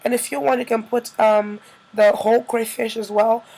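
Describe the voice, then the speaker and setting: A woman with a slightly hoarse, congested-by-a-cold voice, narrating a casual cooking tutorial as a vlogger. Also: a little high, little pitch movement, normal speed, dark tone, poor audio.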